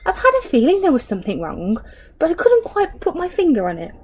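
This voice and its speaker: Woman doing nasty old wife, theatrical, gloating, raised pitch, storybook, faint noise behind. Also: huge pitch swings, brisk. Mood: puzzled. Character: spiteful, dramatic old wife who plays nasty tricks.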